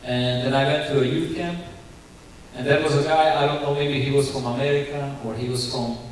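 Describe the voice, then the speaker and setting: Ukrainian guy telling his testimony at church, halting english, super echoey hall, far from the mic, bit of hiss. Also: medium pitch, fairly even intonation. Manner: earnest, halting non-native English with restarts. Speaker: guest speaker giving testimony. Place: church sanctuary.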